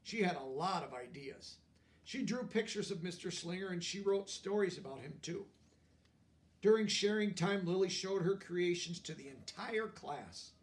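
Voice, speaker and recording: A man's voice: high-pitched, animated, normal speed, recorded from a distance. A man reading a picture book aloud expressively, with explanatory asides for young listeners.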